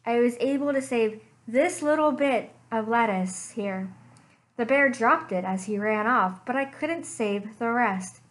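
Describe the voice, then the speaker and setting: Woman reading a sincere small dog from a storybook, slow and sorry. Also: on the high side, expressive. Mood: confiding. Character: earnest little dog narrator.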